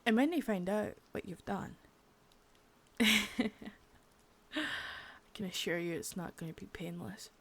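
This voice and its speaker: A woman voicing a sadistic, menacing female boss in an ASMR roleplay, whispering softly with spoken threats between. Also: medium pitch, unhurried, long pauses, slightly rough. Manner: taunting and threatening.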